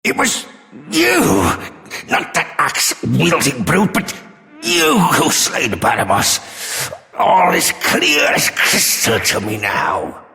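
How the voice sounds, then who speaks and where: A man's voice: a little high, huge pitch swings, slow, creaky, bright, forceful tone, full character voice. A man playing an arrogant, menacing monster servant of the underworld in a fantasy game, sneering melodramatically in long reverb. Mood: shocked disbelief. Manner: sneering, savoring, melodramatic villain delivery. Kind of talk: fantasy video game villain acting.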